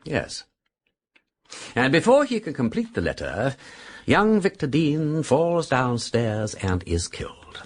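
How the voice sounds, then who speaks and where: An actor playing a suave, breezy aristocratic gentleman sleuth in a radio drama, with an upper-class English accent and a jaunty, mock-formal, theatrical delivery. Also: medium pitch, huge pitch swings, slow pace, full character voice. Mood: breezy, dramatic. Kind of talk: BBC radio drama acting.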